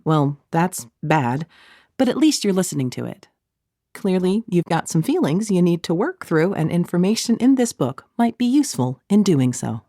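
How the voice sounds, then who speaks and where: Woman narrator with wry, very animated delivery. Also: lowish voice, dry recording, studio quality. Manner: snarky, wry, playful deadpan asides. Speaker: author reading own audiobook. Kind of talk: scripted audiobook narration, conversational.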